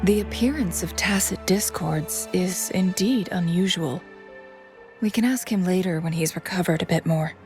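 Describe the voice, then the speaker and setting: Game casting: actress as composed female companion, lowish voice, measured, courteous, perceptive, faint music underneath. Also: natural voice. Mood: calm, analytical. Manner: calm, measured, formal. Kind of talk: video game voice acting.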